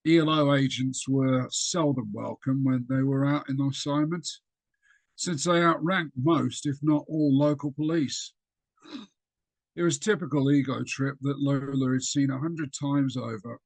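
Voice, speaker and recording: A man reading an extract of his own fiction aloud over a video call, with a steady storytelling delivery and small slips. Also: mid-range voice, a little slow, dry recording.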